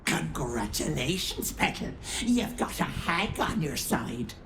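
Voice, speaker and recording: An actress playing a sly, crude old hag in a fantasy game, mocking and sardonic, with a drawl. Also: female voice, deep voice, big pitch swings, slow, noisy background, heavily acted.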